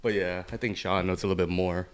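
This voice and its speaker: A man's voice: low voice, steady tone, quick pace. A man co-hosting a podcast in casual banter, joking and slangy, often repeating short phrases. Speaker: podcast co-host. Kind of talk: casual banter between friends.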